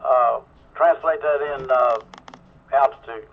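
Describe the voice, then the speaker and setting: A man's voice: mid-range voice, steady tone, slow pace, creaky. A man hosts an amateur radio net in a casual, teasing, chatty way. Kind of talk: casual ham radio chat. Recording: narrow tinny ham radio transmission.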